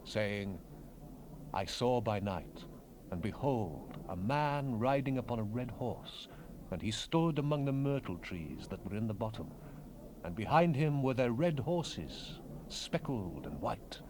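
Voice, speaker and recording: A man's voice: on the low side, big pitch swings, measured pace. A man acting a fervent Bible prophet in a dramatized King James reading, solemn and declamatory, with archaic phrasing. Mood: hushed awe. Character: fervent male Bible prophet proclaiming visions and God's words. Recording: noisy unprocessed 1970s cassette rip.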